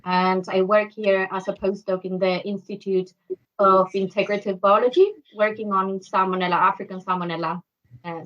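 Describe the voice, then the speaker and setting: A woman from Chile working as a postdoc, introducing herself haltingly on a podcast panel. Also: medium pitch, highs cut off.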